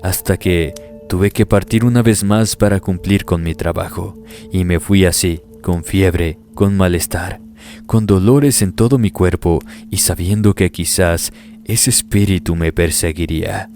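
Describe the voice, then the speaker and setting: A man narrating first-person horror stories in a grave, steady, scripted delivery. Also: low-pitched, unhurried. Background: faint background music.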